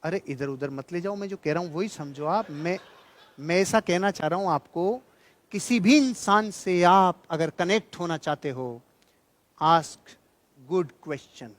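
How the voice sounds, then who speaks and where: A man's voice: high-pitched, measured pace. A man speaking as a motivational trainer, emphatic and colloquial, repeating key phrases and telling stories in a joking way. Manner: jocular, colloquial storytelling. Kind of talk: motivational seminar speech with anecdotes and humour.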